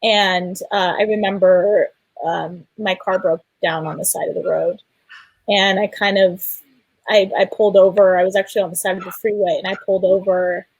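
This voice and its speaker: A woman's voice: mid-range voice, fairly even intonation, moderate pace, slightly rough, no echo, highs cut off. A woman telling a personal story as a filmmaker guest in an interview, in a hesitant manner. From Iran or of Iranian family. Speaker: filmmaker guest on a festival panel.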